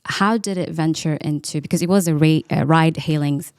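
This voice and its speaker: A woman hosting a podcast interview, asking quick, friendly questions with fillers. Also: low-pitched, darkish tone, smooth. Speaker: podcast host.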